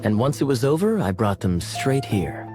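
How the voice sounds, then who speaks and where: A man's voice: medium pitch, sing-song, moderate pace, natural voice. A man playing a suave, courteous, self-assured knight in a fantasy video game, with formal, polished phrasing. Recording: game music under the voice. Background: music.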